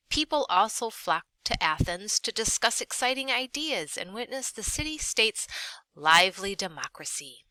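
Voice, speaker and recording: A woman teacher reads a lesson aloud in a bright, fairly low voice. Her delivery is deliberate and expressive, with lively rises and falls across each sentence. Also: dry recording. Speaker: teacher reading a textbook aloud. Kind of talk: read-aloud lesson narration.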